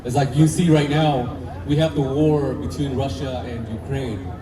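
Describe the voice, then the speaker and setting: A man, talking earnestly and haltingly with restarts as a filmmaker interviewed at an outdoor festival. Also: medium pitch, steady tone. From Vietnam. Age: 50s. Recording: echoey, distant mic with crowd noise.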